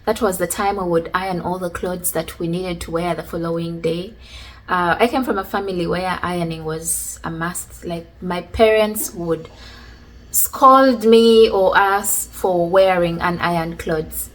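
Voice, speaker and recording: A woman, casually telling stories from her childhood in a chatty, relaxed way. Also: on the low side, measured pace, low-quality recording. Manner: chatty, relaxed, many fillers like "like" and "you know". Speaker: vlogger. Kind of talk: casual storytelling about childhood.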